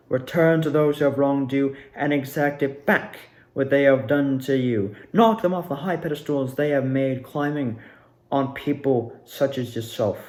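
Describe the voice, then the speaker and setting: Man performing grieving cult leader: theatrical, vengeful, deliberate, emphatic, mid-range pitch, roomy echo. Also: a little mellow, close to an everyday voice. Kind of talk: theatrical stage monologue. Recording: echoey room.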